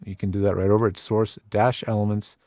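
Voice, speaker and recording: A fairly low-pitched man hosting a talk show in a relaxed, chatty manner with easy laughs. The sound is dry and close, with no room echo. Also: fast. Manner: easygoing, chatty, laughs often. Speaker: co-host. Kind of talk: casual show chat and sponsor read.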